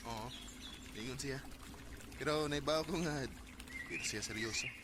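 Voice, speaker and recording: A man's voice: medium pitch, measured pace, natural voice. An actor with a Cebuano Filipino accent playing an earnest young man secretly fond of his friend in a radio drama. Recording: very noisy radio drama recording.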